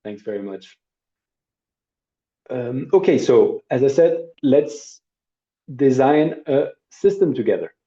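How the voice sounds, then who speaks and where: Middle-aged male instructor, very dark mellow voice, casual live demo over a dull video-call line. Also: mid-range voice, slow, long pauses. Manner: relaxed, conversational, with hesitations and asides. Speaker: workshop instructor. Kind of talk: live online training demo.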